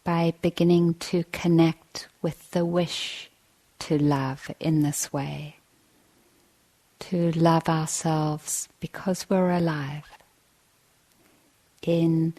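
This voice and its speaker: Female meditation teacher, low and fairly even in tone, gentle, measured delivery with generous pauses. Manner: gentle, measured, with long pauses between short phrases. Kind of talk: guided meditation dharma talk.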